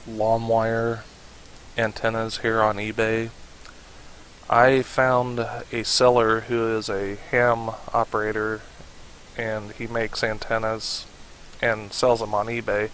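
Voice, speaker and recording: A man narrating a casual how-to tutorial in a halting manner, with pauses to think. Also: on the low side, rough recording. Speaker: tutorial host. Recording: steady hiss behind the voice. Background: hiss.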